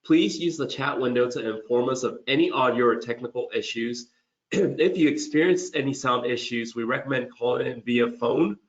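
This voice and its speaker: A man's voice: mid-range voice, moderate pace, highs cut off. Man hosting a webinar, slightly strained voice. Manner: conversational, with frequent restarts and fillers. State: losing his voice a little. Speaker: webinar host. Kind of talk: corporate webinar presentation.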